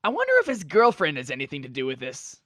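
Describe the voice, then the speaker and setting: A woman's voice: low-pitched, wildly swooping pitch, quick pace, no echo, studio quality. A woman voicing a brash, boastful young male pegasus pony in a fan-fiction audio story, with casual, slangy speech. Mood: casual, musing.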